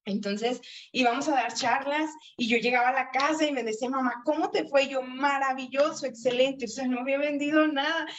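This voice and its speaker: A woman's voice: high-pitched, steady tone, a little fast. A woman in her late thirties giving a chatty motivational training talk over a video call, often asking and answering her own questions. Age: 30s. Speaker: network marketing trainer.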